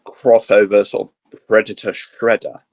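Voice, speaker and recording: A man co-hosting a geek show, chatting casually and piecing his ideas together haltingly as he goes. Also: lowish voice, little pitch movement. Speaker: co-host of a geek discussion show. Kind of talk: casual chat, pitching ideas.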